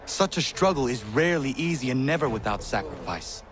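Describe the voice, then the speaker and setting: An actor playing an earnest, stern young assassin in a video game, speaking in a solemn, formal and measured way. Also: male voice, medium pitch, big pitch swings, over music, natural voice. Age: young adult. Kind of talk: video game cutscene acting.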